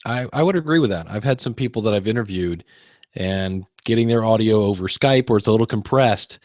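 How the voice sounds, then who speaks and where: A man's voice: on the low side, lively intonation, fairly quick, darkish tone, no echo, clean studio sound. A man speaking as a guest in a casual roundtable chat, agreeable and conversational. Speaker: podcast guest.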